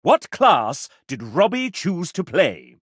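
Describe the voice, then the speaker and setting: A man voicing a sassy, pompous, playful gentleman who hosts and narrates a video game in a showy, theatrical way. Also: on the high side, takes it slowly, no room sound, studio quality, full character voice. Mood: wry narration. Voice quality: animated theatrical delivery. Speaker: game show style host and narrator.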